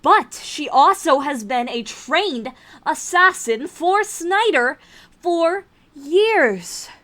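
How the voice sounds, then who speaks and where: A woman's voice: sits high, lively intonation, very slow, fairly bright tone, heavily acted. A woman playing a worried, cautious agent in an audio drama. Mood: anxious.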